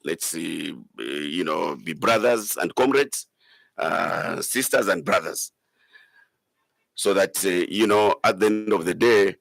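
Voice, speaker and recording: Zambian politician man, gravelly lowish voice, lots of pauses, choosing words carefully, dry sound. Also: fairly bright tone, no room sound. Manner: hesitant, deliberate, pausing often. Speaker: politician, member of parliament. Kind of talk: spoken interview remarks.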